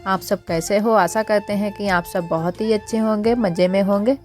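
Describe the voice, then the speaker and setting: A young woman with a hoarse, husky voice from a cold, chatting casually as she walks through her cooking in a village home kitchen. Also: medium pitch, fairly even intonation, moderate pace, over loud music. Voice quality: husky, strained voice. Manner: chatty, homely step-by-step narration. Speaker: village vlogger. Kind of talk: casual cooking vlog narration.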